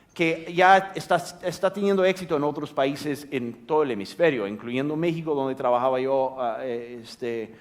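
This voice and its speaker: Halting man speaking Spanish. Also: fairly high pitch. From United States. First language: English. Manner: halting, frequent restarts and fillers. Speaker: guest speaker. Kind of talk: seminar talk. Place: theater stage.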